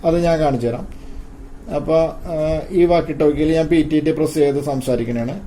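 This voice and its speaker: A man giving an informal hands-on gadget demonstration, haltingly and repeating his phrases. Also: on the high side, warm tone, slight background noise. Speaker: tech video presenter.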